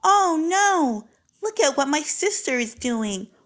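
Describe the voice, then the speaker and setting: A woman voicing an excitable young girl who loves fancy words, narrating a storybook in a dramatic, precise manner. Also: high voice, slow, voice pushed up, heavily acted. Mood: alarmed. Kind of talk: storybook read-aloud, first-person child narrator.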